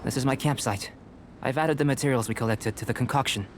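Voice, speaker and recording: A man voicing a calm, reserved young alchemist scholar in an anime game, speaking in a measured, precise way. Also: medium pitch, a little hiss or hum, lightly acted. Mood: composed, instructive. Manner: measured, precise, composed, clinical.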